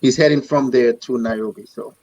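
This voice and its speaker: A man's voice: medium pitch, fairly even intonation, brisk, darkish tone, dull audio, slight background noise. A man, an athletics coach chatting casually as a guest, often repeating himself in short phrases. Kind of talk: casual chat.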